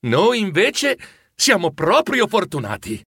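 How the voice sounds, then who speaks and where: Storybook wife, played by a male narrator: sharp-tongued, loving underneath, grudging, high-pitched, animated. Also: normal speed, no room sound, studio quality, full character voice. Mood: softened. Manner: grudging, scolding.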